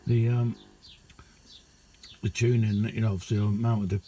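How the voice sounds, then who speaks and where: A middle-aged man talks in a very mellow, fairly even voice. He rambles casually and modestly, like a hobbyist showing something on camera. There is faint noise behind him in a small indoor room. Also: fairly low pitch, normal speed. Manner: rambling, understated, self-deprecating. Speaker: amateur radio hobbyist showing his kit. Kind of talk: casual hands-on video chat.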